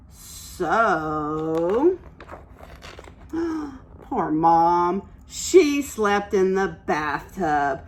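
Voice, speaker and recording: Woman, early childhood teacher, slow delivery, mid-range pitch, interactive read-aloud, encouraging, simple explanations.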